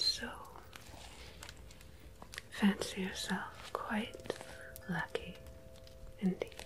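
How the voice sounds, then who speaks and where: A woman playing a mysterious, gentle tarot medium in an ASMR roleplay, speaking in a soft breathy whisper with deliberate pauses. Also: mid-range voice, poor audio. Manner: slow, hushed, deliberate, with long pauses. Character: mysterious gentle female tarot medium between two worlds.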